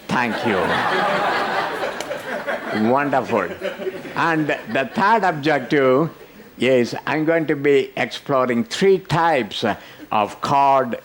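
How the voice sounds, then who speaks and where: An older man runs a conference workshop at an unhurried, deliberate pace. His delivery is loose and playful, and he keeps breaking off to tease people in the room. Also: medium pitch. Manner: joking, teasing the audience. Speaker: workshop presenter. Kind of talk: interactive conference workshop talk.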